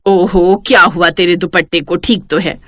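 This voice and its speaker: A woman's voice: fairly low pitch, fairly even intonation, moderate pace, a bit bright, no echo, clean studio sound, pitched below the performer's own voice, lightly acted. A woman voicing a lazy middle-aged mother-in-law in a cartoon story, bossy and full of grumbling excuses. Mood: dismissive. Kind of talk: Hindi cartoon moral story acting.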